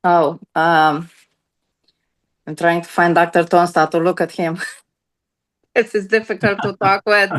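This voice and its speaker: A woman's voice: lowish voice, little pitch movement, a little slow, long pauses. A woman, a chaplain, speaking up hesitantly on a video call and often repeating her words. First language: Romanian. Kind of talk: question from the audience on a video call.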